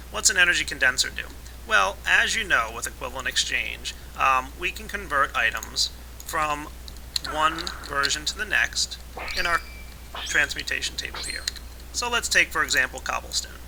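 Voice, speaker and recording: A man with a raspy voice today, casually explaining a modded game as its let's play host, with relaxed fillers. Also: mid-range voice, a little hiss or hum, poor audio. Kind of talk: casual gameplay tutorial narration.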